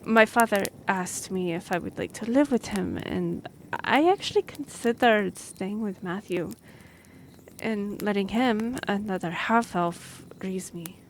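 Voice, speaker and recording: A woman's voice: mid-range voice, measured pace, natural voice. A woman playing a friendly, earnest young half-elf royal messenger in a fantasy audio roleplay, speaking a little hesitantly. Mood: wistful, reminiscing. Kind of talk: fantasy audio roleplay, one-sided conversation. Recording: noisy track with background ambience.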